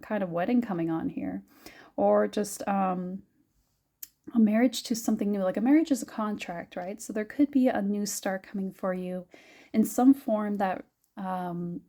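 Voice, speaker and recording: A young adult woman giving a gentle, musing tarot reading, her voice a little raspy and scratchy, often laughing softly. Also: medium pitch. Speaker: tarot reader. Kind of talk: soft-spoken tarot reading.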